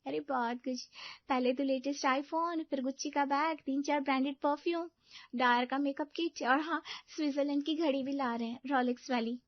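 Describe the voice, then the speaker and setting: A woman's voice: high-pitched, fairly even intonation, normal speed, no room sound, highs cut off, pitched above the performer's own voice, lightly acted. A woman voicing a pampered, boastful young rich wife in a storybook tale, gushing in a showy way. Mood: happy, boastful.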